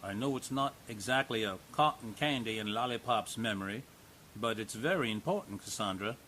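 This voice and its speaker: A man's voice: fairly low pitch, brisk, bright, forceful tone, a little hiss or hum. A man narrating and playing an investigator in a radio drama, in a wry, conversational way.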